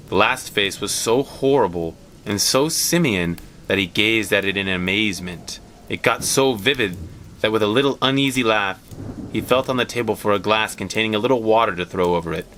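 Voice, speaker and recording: A man reading a story aloud as an expressive scripted narration. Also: lowish voice, slight background noise. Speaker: story reader.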